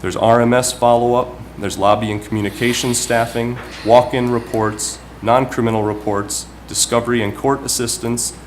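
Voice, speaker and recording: A man giving prepared, formal remarks as a town councilman at a public meeting, speaking in a measured, deliberate way. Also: medium pitch, fairly even intonation. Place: municipal meeting room. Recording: clearly noisy public meeting recording.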